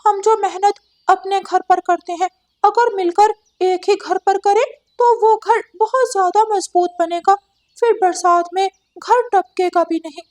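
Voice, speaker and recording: A woman's voice: very high voice, a little slow, a little mellow, no room sound, clean studio sound, voice pushed up. A woman voicing an earnest, sensible male parrot who leads the birds in a cartoon. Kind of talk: cartoon character acting.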